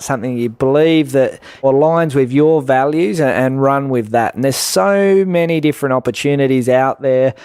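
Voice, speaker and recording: A man's voice: medium pitch, darkish tone, no echo, studio quality. A man giving advice in a conversational way as a guest expert in an interview.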